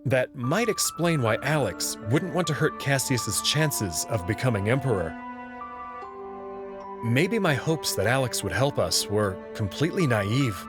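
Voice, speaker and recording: A man giving a measured, scripted first-person narration as he reads a visual novel. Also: medium pitch. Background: prominent music.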